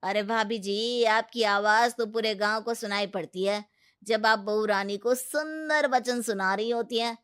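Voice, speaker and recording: A woman's voice: fairly high pitch, fairly even intonation, measured pace, fairly bright tone, clean tone. A woman voicing a village council elder in a cartoon story, earnest and teasing, with a pointed, declamatory delivery. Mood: chiding. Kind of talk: cartoon moral story acting.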